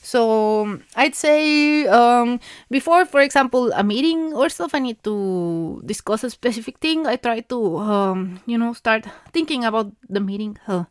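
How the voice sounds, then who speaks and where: Woman, thirties, Mexican Spanish accent, native Spanish speaker, informal solo podcast chat; fairly high voice, hesitant, lots of fillers, laughs lightly, dry close recording. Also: measured pace, smooth, no room sound. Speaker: podcast host. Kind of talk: casual monologue.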